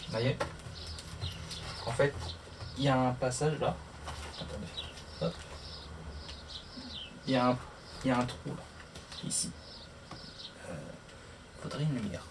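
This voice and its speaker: Male vlogger, mid-pitched and unhurried, casual how-to chat muttered while working, picked up from a distance. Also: steady tone. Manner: muttering to himself while working through steps. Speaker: motorcycle vlogger. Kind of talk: casual hands-on tutorial chat to camera. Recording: distant mic, clear background noise.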